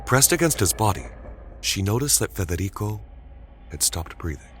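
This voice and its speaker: A man narrating a scripted story dramatically, with suspenseful, deliberate phrasing. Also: fairly low pitch, lively intonation, slight background noise.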